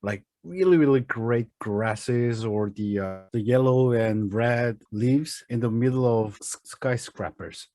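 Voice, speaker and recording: Halting Korean man with a dry sound. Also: mid-range voice, darkish tone, no echo. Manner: halting, many fillers, searching for words. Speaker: podcast co-host. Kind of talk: casual chat.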